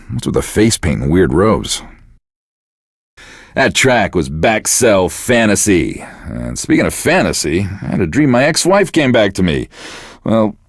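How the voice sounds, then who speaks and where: Jaded American game radio DJ, deep low voice, swooping intonation, sardonic, self-pitying. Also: male voice, no echo, studio quality. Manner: deadpan, sardonic, profane. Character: bitter, self-pitying divorced middle-aged male radio DJ. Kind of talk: casual radio DJ patter.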